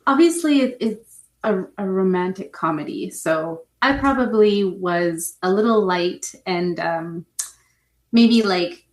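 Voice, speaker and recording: Brief: woman author guest, measured and hedging, lots of restarts. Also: on the low side. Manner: thoughtful, hedging, many restarts. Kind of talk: podcast interview answers.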